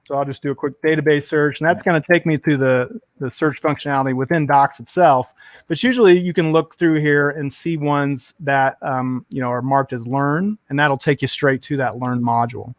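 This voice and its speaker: A man's voice: medium pitch, fairly even intonation, moderate pace, dry recording. A man chatting enthusiastically and rambling a bit on a tech stream, full of fillers. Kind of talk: casual tech chat.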